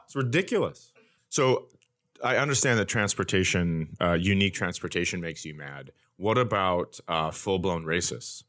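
A middle-aged American man with a fairly low voice hosts a comedy podcast. He tells stories in a casual, animated way, ranting bluntly and swearing as he goes. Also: moderate pace.

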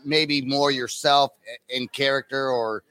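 A man's voice: medium pitch, fairly even intonation, a little slow, a bit bright, dry recording. A man hosting a podcast at a convention, asking loose rambling questions and laughing often. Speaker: podcast host. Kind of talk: casual interview questions. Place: pop culture convention.

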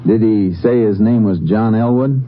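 An actor playing a laconic, authoritative Texas Ranger in a 1950s radio western, speaking in a terse, matter-of-fact way. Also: male voice, fairly low pitch, moderate pace, slight background noise, close to an everyday voice. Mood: probing. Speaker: lead actor and story narrator. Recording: dull vintage radio recording, music.

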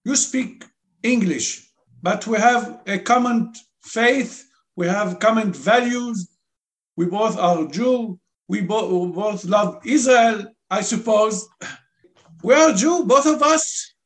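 A man from Lebanon, a native Arabic speaker, answering interview questions in halting, simple English with a Lebanese Arabic accent. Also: high-pitched. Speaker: guest.

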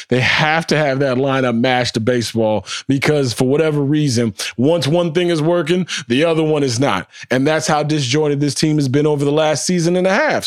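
An American man with a slightly high voice in a dry, close recording. He hosts a sports podcast in a casual, opinionated way. His talk is blunt, joking and slangy, with some swearing and repetition for emphasis. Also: dry recording. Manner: repeats phrases for emphasis.

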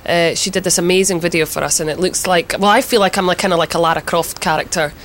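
A woman's voice: lowish voice, fairly even intonation, quick pace, a bit bright, a little hiss or hum. A woman in an interview as a band singer, speaking candidly, laughing often and swearing freely, with a Scottish accent. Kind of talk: casual interview banter.

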